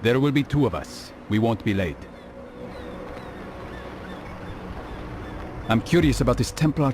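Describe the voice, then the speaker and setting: A man voicing a grave, learned assassin in a video game, speaking in a measured, formal way. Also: fairly low pitch, background noise, close to an everyday voice. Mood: stern, businesslike. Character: grave, learned young assassin, stern and reflective.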